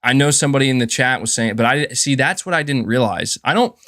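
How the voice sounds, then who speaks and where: A man's voice: medium pitch, lively intonation, fast talker, fairly bright tone. Chatty male streamer. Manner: chatty, chuckles often, says "dude" and "man". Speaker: livestream host. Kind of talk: casual livestream commentary.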